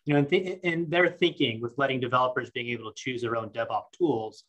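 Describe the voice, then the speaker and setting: A man asking informal questions as an analyst on an earnings call, in a halting way that repeats words. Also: mid-range voice, fairly bright tone, lo-fi sound.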